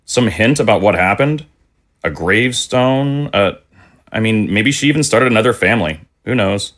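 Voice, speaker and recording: A middle-aged father in an audio drama. His voice sits on the low side and he talks haltingly, with a wistful longing beneath natural, conversational delivery. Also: animated, a bit bright. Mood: searching, wistful.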